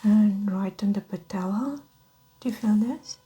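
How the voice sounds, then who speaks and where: A woman speaking softly and breathily as a doctor in an ASMR medical roleplay, gently explaining each step without hurry. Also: mid-range voice, a little slow. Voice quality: soft, whispery, breathy. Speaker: ASMR performer playing a doctor.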